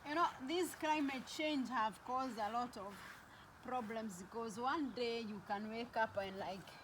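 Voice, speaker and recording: A woman's voice: fairly high pitch, a little slow, background noise. A woman from Kenya with a Kenyan accent chatting casually in a rambling vlog, repeating phrases for emphasis. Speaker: vlogger.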